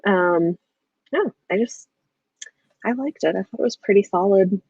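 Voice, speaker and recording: A woman's voice: medium pitch, a little slow, darkish tone, husky, no echo, dull audio. A woman in a casual, informal book chat, speaking in an agreeable, hedging way as host. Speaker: host of a book discussion livestream.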